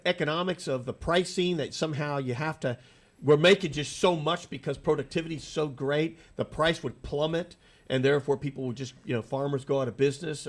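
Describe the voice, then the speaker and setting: A man hosting a radio talk show, a bit nasal from allergies, asking long rambling questions in a casual, upbeat way. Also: fairly high pitch. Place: radio studio.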